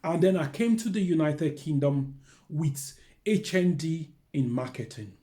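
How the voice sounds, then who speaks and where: A man's voice: fairly high pitch, a little slow, mellow. A middle-aged man with a Nigerian accent, a careers adviser earnestly telling his own career story and giving advice. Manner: earnest, candid, repeats phrases like "to be honest".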